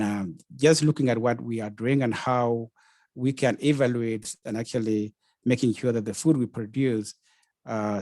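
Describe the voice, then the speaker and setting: A man from South Sudan, a researcher speaking on a panel, halting with frequent uhs and repeated words. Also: medium pitch, a little mellow, dry recording. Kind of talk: webinar panel talk.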